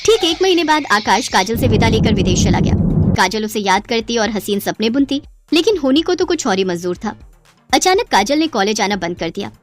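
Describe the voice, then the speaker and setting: A woman narrating a cartoon story in a dramatic, expressive scripted way, with faint music behind. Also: on the high side, brisk.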